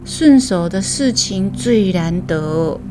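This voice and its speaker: Casting: woman as mysterious, kindly old Taiwanese granny for an audio story, slow drawn-out words, faint yet clear, music beneath. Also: medium pitch, expressive. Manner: slow, drawn-out delivery with Taiwanese Hokkien phrasing. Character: elderly granny, faint-voiced but firm, mysterious and kindly.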